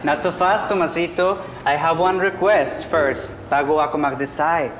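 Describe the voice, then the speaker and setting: An actor playing a suave middle-aged businessman, smooth and showy, who sprinkles in foreign phrases. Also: on the high side, moderate pace, a bit bright, roomy sound, slight background noise, close to an everyday voice. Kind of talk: sitcom acting.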